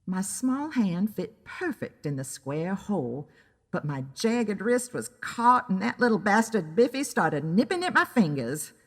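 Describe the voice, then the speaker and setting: A woman narrates a scripted story in a deliberate, dramatic way. She takes her time, her pitch moves expressively, and the sound carries a long hall reverb. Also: very animated.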